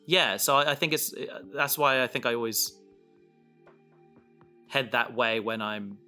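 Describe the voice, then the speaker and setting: A man chatting casually and reflectively as a podcast creator, haltingly thinking aloud as he goes. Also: medium pitch, bright, forceful tone, soft background music.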